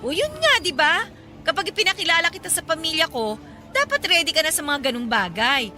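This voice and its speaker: A practical, guarded young working woman in a radio drama. Her voice is high and bright, and she talks in a matter-of-fact, conversational way over background music. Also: sing-song, fairly quick, exaggerated voice. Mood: earnest, cautious. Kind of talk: Tagalog radio drama acting. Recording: music behind the voice.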